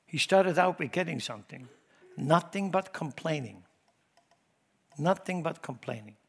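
Emphatic preacher with a Swiss German accent. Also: male voice, a little high, animated, measured pace, vocal fry, breathy edge. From Switzerland. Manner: emphatic preaching, swings from loud to hushed. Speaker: pastor. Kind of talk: sermon. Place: church.

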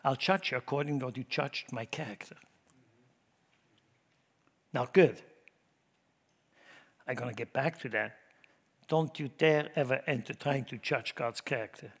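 A middle-aged man with a Swiss German accent, preaching a sermon in church, emphatic and shifting from loud to hushed. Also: medium pitch, measured pace, long pauses, husky. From Switzerland. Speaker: pastor.

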